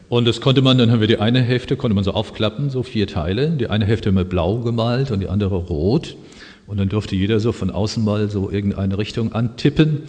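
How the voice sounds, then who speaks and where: A man with a fairly low voice preaches in a big, reverberant hall. He explains scripture conversationally, as a preacher talking directly to his listeners, with long echo trailing his words. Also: darkish tone. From Germany. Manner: conversational, explanatory, pausing with fillers. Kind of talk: sermon with personal anecdotes and Bible quotations.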